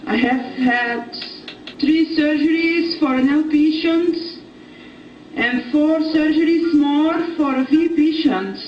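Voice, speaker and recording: A woman's voice: high-pitched, takes it slowly. A woman from Belgium, giving personal testimony. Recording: echoey, distant, dull sound with music.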